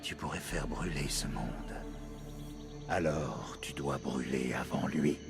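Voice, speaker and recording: An actor playing a menacing fanatical hunter in a video game, slow and taunting, with gravelly, breathy half-whispers. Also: male voice, rumbling low, over loud music, heavily acted. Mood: menacing, solemn. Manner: slow, taunting, ominous pronouncements. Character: menacing male hunter, zealous fanatic stalking the impure. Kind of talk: dramatic video game acting.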